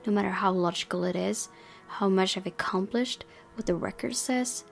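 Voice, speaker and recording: Gravelly-edged woman reading a game aloud. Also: medium pitch, normal speed, soft background music. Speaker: game streamer. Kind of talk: reading visual novel narration aloud with asides.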